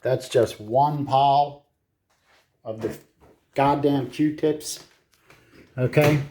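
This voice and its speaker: A man giving casual hands-on repair commentary, muttering to himself in short, halting phrases with some casual swearing. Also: mid-range voice, fairly even intonation, warm tone. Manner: casually profane. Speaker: hobbyist repairer filming own repair. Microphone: handheld camera.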